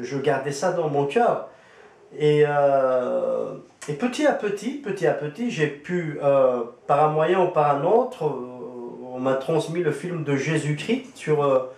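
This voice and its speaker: A man's voice: fairly high pitch, darkish tone. Hesitant man, roomy and distant. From Algeria. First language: Kabyle. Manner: hesitant, many fillers and restarts. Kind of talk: casual spoken testimony. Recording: roomy echo, distant from the mic.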